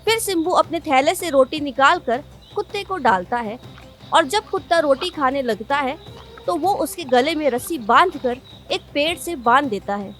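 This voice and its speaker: A woman narrates a children's cartoon story in a high-pitched voice. Music plays behind her as she reads the scripted tale. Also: expressive, moderate pace, fairly bright tone. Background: background music.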